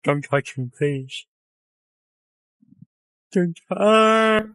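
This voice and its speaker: A man's voice: sits high, lively intonation, extremely slow, fairly bright tone, dry recording, clean studio sound. A man reacting in casual gaming banter, exclaiming and swearing.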